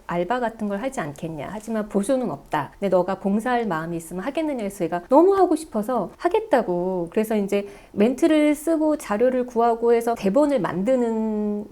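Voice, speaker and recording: A woman's voice: on the high side, expressive, a little fast, hardly any pauses, warm tone. A woman in her forties from Suwon, a guest and former TV shopping host, earnestly telling her story in an interview with many fillers. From Suwon, South Korea. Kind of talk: personal testimony in a sit-down interview.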